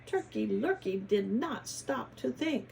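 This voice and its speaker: A woman reading a picture book aloud to children in a teacherly way, pausing to ask them questions and adding dramatic emphasis. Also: fairly high pitch, animated, vocal fry, darkish tone, a little hiss or hum. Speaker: children's story reader, former teacher. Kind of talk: animated picture-book read-aloud with chatty asides to children.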